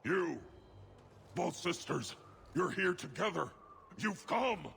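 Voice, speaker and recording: An actor playing a crazed, fanatical dwarf smuggler in a video game, gravelly and fervently raving. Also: male voice, high voice, lively intonation, slow pace, fairly bright tone, in a large hall, slight background noise, exaggerated voice. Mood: gleeful frenzy.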